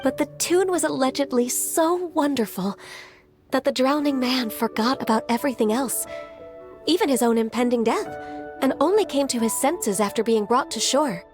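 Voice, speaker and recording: An actress playing a gentle, shy young woman in a video game, soft-spoken, earnest and a little hesitant. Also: high voice, normal speed, natural voice. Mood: thoughtful. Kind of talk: video game character acting. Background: music.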